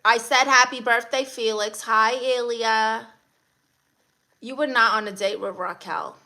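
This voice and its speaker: A woman with a Brooklyn accent hosts a casual livestream. Her voice sits a little high and has a bright, forward edge. She greets viewers and responds to comments with playful, blunt sass. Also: steady tone, measured pace. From Brooklyn, New York, USA. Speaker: livestream host.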